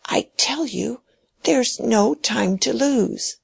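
A woman's voice: on the high side, lively intonation, slow pace, creaky, husky, voice pushed up, heavily acted. A woman voicing a stubborn, agitated dying old man whose voice shakes as he pleads and commands, in a storybook reading.

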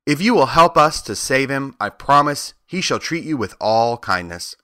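An eager straw scarecrow out of a storybook, voiced by a man. He is friendly and earnest, with a bright tone and very animated pitch. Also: normal speed, no room sound, studio quality. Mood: reassuring.

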